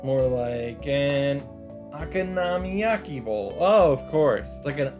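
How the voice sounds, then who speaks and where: Male let's play host, mid-range, casual and mock-dramatic narration, clear game music underneath. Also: mid-range voice, expressive, takes it slowly. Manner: mock-dramatic, interjects swearing and side remarks. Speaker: let's play host reading a visual novel. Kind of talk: reading game narration aloud with casual commentary. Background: visual novel background music.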